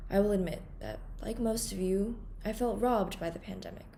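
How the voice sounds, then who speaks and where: A teenage woman from Hong Kong delivering a prepared welcome speech at a student conference in an earnest, formal, measured way. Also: medium pitch, gravelly, warm tone, slight background noise. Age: teens. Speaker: conference undersecretary general giving a welcome speech.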